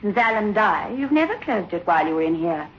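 A woman's voice: medium pitch, sing-song, a little fast, slight background noise, lightly acted. An actress playing a fragile, suspicious and easily manipulated woman in 1960s radio suspense style, wary and bewildered. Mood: suspicious, accusing. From South Africa. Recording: dull vintage radio recording.

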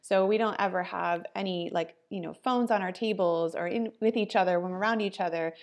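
A woman in her forties from Ohio, a podcast guest telling her story in a relaxed, chatty way with many ums and likes. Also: on the low side, a little fast. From Ohio, US Midwest. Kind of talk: casual interview chat.

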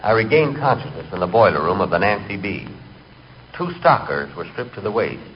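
Deep-voiced, animated hapless storyteller. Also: male voice, a little fast. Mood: storytelling. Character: nervous, hapless, literal-minded man telling his misadventures. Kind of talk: 1940s radio comic monologue. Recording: dull, noisy 1940s radio recording.

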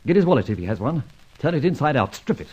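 An actor playing a suave, debonair gentleman adventurer in an old radio serial, urbane, wordy and teasing in a mock-formal way. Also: medium pitch, very animated, quick pace, husky, dull audio.

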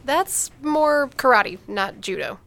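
A woman's voice: sits high, animated, slow pace, creaky, a bit bright, exaggerated voice. A woman playing a candid, teasing older sister with a blunt, familiar manner in conversational audio drama dialogue.

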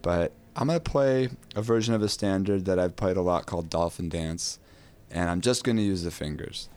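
Low raspy-voiced man hosting a podcast. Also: normal speed. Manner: relaxed, rambling, with many fillers. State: raspy from a cold. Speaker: podcast host. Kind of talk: casual podcast chat about guitar.

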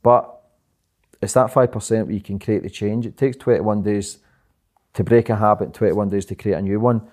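A young man talking fast and rambling as an interview guest, swearing often and repeating "do you know what I mean". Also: lowish voice, fairly even intonation, a little mellow. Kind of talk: casual interview chat.

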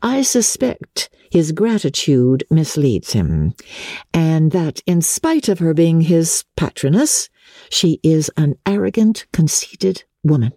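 A woman voicing a candid, spirited young gentlewoman in a classic novel reading. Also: deep voice, very animated, slow, no room sound, studio quality, pitched above the performer's own voice, exaggerated voice. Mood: wry, candid. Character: bright, candid young gentlewoman with quick opinions.

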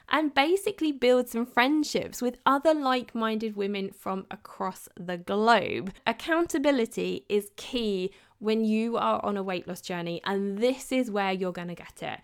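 A woman reading a scripted, upbeat promotion for her course. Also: smooth. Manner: upbeat, persuasive sales pitch. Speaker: podcast host.

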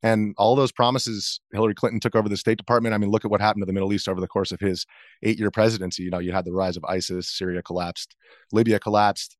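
A man's voice: fairly low pitch, flat delivery, quick pace, no echo, clean studio sound. A man in his thirties speaking as an interview guest, answering casually in a hesitant, rambling way. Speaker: interview guest, video journalist.

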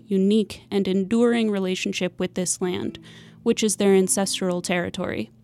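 A woman's voice: mid-range voice, a little mellow. A young woman hosts a university podcast, moving between an earnest scripted outro and loose banter with a co-host. Her voice sits in an ordinary middle register for a woman, and she laughs easily. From United States. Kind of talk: casual chat and scripted outro.

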